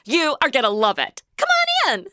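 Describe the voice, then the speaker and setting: A woman's voice: on the high side, expressive, a little fast, noisy background, close to an everyday voice. An actress playing a brash, carefree young woman in a comedy audio drama, slangy and bossy. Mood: breezy.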